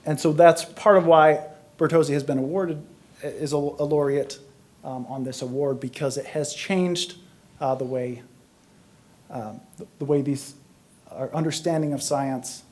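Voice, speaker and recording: A man giving an explanatory academic science lecture as a panelist, speaking in a halting way as he searches for words. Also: mid-range voice, steady tone, a little mellow.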